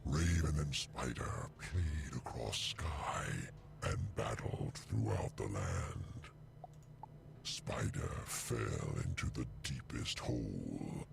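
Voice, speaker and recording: Menacing ancient game spirit, bottomless growling voice, extremely slow ominous verse, dramatic delivery. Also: male voice, a bass voice, lively intonation, noisy background, exaggerated voice. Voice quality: gravelly, breathy growl. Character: menacing ancient male spirit reciting a dark prophecy. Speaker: prophecy narrator. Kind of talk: theatrical recitation of verse in a video game.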